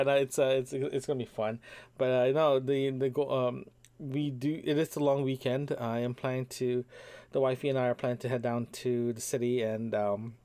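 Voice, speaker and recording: A man's voice: medium pitch, a little mellow. A young man from Toronto, Canada, recording a casual audio diary into a microphone, rambling with many ums, restarts and repeated words. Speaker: podcast host. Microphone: single microphone.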